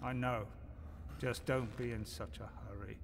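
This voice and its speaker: A seasoned sci-fi soldier-medic, weary and sombre. He speaks slowly and measuredly at a middling pitch, over faint noise. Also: fairly even intonation, creaky. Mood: weary warning. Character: world-weary veteran male space marine medic.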